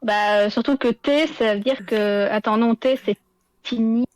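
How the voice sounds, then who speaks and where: A woman's voice: a little high, unhurried, darkish tone, no room sound. A woman acting as game master, hesitant and full of fillers while she looks up rules. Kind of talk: running a tabletop game, checking rules.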